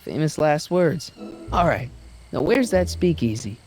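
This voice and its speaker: A high, animated voice plays a teenage boy hero in a video game. He is wry and nervy, hesitating as he improvises. Light music sits behind him. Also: unhurried.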